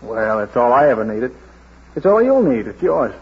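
Husky, boastful young-hearted street washer. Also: male voice, mid-range voice, big pitch swings, normal speed, heavily acted. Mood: bossy, tender. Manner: emphatic, declamatory, commanding. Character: boastful, tender male street washer, proud and bossy. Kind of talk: 1940s radio drama acting. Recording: husky, dull old radio drama recording.

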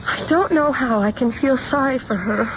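An actress playing a candid, soft-hearted young bride-to-be who is upset, in a radio drama. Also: female voice, high voice, normal speed, natural voice. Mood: bitter, sad. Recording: dull, noisy 1970s radio recording.

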